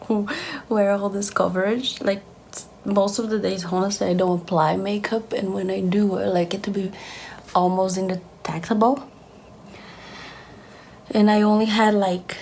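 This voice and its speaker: A woman's voice: mid-range voice, a little hiss or hum. Chatty woman. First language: Portuguese. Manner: chatty, giggly, many fillers and restarts. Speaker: beauty vlogger. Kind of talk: casual makeup tutorial. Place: home, at a lit mirror.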